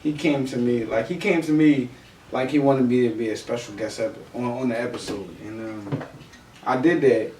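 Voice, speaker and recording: A man's voice: medium pitch, steady tone, normal speed, a little mellow. A man talking casually on a podcast, slangy, often checking that the listener follows him. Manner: slangy, repeats "you know what I mean". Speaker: podcast co-host and guest, music artist. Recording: roomy, distant mic, background noise.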